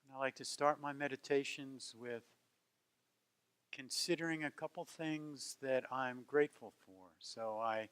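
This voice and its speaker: Man gently guiding a meditation. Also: medium pitch, clear, resonant tone. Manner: gentle, unhurried phrases with long pauses. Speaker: meditation teacher. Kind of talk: guided meditation.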